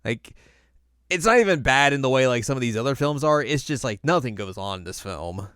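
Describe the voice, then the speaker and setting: A man's voice: mid-range voice, lively intonation, fairly quick, a bit bright, smooth, no echo, clean studio sound. A man co-hosting a podcast in casual conversation, informal and wry, repeating words for emphasis. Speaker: podcast co-host. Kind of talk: casual chat, film ranking discussion.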